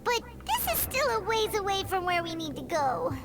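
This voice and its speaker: A tiny, childlike fairy sidekick from a video game. The voice is extremely high and squeaky, with lively swoops of eagerness. Loud music plays behind it. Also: female voice, normal speed. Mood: eager. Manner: speaks of self in third person. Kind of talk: video game character acting.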